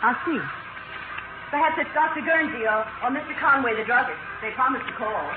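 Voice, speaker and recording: An actress playing a weary, devoted, patient wife in an earnest 1940s radio melodrama style. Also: female voice, sits high, brisk, noisy background, close to an everyday voice. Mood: anxious, eager. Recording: tinny 1940s radio recording, music behind.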